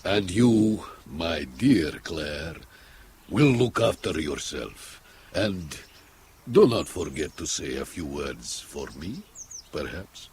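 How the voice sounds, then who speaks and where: A very deep, slow, courtly spy. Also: male voice, expressive, a little mellow, exaggerated voice. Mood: wistful farewell. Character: grave, courtly male Cold War spy, fondly bidding farewell. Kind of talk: audio drama acting. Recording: faint background noise.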